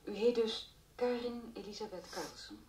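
A tactful female police inspector in a radio play, acted by a woman. She questions methodically and politely, in a formal, careful voice of medium pitch. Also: expressive, moderate pace, darkish tone, slight background noise, close to an everyday voice. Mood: careful, composed. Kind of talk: radio drama acting.

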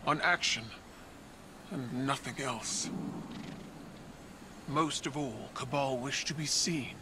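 A man voicing a proud alien warrior ruler in a game, speaking in a slow, measured, solemn way. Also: mid-range voice, close to an everyday voice. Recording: game audio with background noise.

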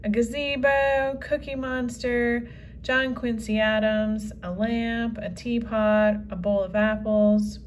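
A woman reading the lines of an excitable young girl in a storybook comic. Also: a little high, slow pace, slight background noise. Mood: eager.

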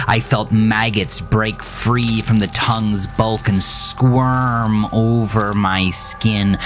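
Slow, intense male horror narrator. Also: lowish voice. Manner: intense, dramatic, deliberate. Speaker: storyteller, podcast narrator. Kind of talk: scripted first-person horror narration.

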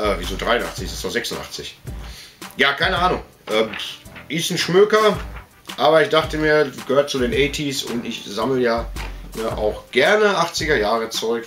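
Chatty middle-aged man, slightly high-pitched. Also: a bit bright. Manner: chatty, colloquial, with frequent tag questions. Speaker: collector and video host. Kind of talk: casual rambling show-and-tell, reading out bits of books. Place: indoor room full of collectibles.

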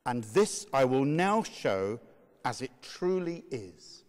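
A man reading a sermon as narrator in a measured, emphatic, oratorical way. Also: a little high. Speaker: narrator, reader. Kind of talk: dramatic reading of a medieval sermon. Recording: long reverberant hall echo.

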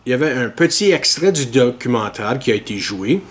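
A man, hosting a podcast and giving measured, opinionated commentary to a webcam in a small room. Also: mid-range voice, expressive. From Ontario, Canada. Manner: measured, earnest and opinionated, talks straight to camera. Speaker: podcast host. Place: small indoor room with dark curtains.

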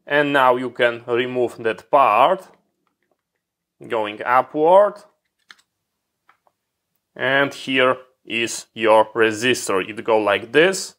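A man's voice: mid-range voice, lively intonation, very slow, long pauses, a bit bright. A man walking through a hands-on electronics repair at his workbench, explaining step by step with slightly non-native phrasing.

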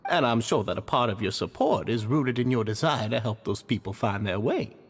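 An actor playing an earnest, deferential club leader in a video game, courteous and formal, eager to please. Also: male voice, mid-range voice, lively intonation, fairly quick, heavy background noise, close to an everyday voice. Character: polite, deferential, earnest male society leader. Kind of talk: video game character dialogue. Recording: music behind the voice.

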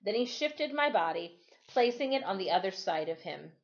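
Expressive middle-aged woman reading aloud. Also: mid-range voice, normal speed. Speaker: storytime reader. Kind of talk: reading a children's novel aloud. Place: small furnished room at home. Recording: slightly dull, lo-fi webcam sound.

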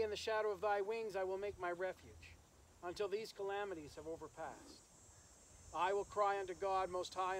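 A male minister with a notably high voice leads a graveside service in the open air. His delivery is solemn and measured, with pauses between phrases. The camera stands some metres off, and faint insect and nature noise runs behind him. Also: poor audio. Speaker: minister officiating a funeral. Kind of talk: graveside sermon with scripture reading and prayer. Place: outdoors in an open rural cemetery. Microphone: camera several metres away.

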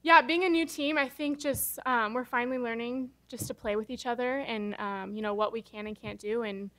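Bright-voiced young woman athlete. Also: on the high side, fairly even intonation, normal speed. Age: young adult. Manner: casual, hesitant with many ums and you knows. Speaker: college basketball player. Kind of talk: postgame press conference answers. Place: press conference room. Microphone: table microphone.

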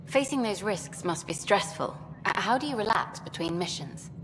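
An actress voicing an eager, upbeat female documentary journalist in a video game, talking quickly and pushing for answers. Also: mid-range voice, lively intonation, a bit bright, in a large hall, soft background music, natural voice. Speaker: documentary filmmaker character.

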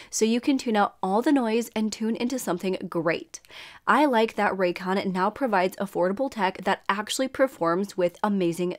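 A young woman in her twenties hosting a true crime show, reading her script to camera in a small room at home, her voice a little scratchy and stuffy from a dry throat. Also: mid-range voice. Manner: earnest, measured storytelling.

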